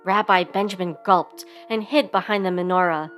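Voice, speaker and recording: A woman's voice: on the low side, moderate pace, a bit bright. A woman reading a children's story aloud expressively, giving sound words theatrical emphasis, with instrumental music behind her. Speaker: storybook reader.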